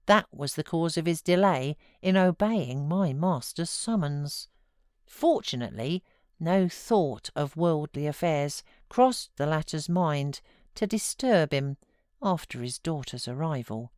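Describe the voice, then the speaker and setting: British lady doing audiobook narration, lowish voice, very dry close sound, expressive storytelling. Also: a little slow, dry recording. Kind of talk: dramatic literary narration.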